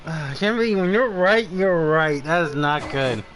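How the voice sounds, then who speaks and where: A man streaming a game, giving casual live commentary in a halting, muttering way as he thinks aloud. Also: on the high side, fairly bright tone, a little hiss or hum, rough recording. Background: game music.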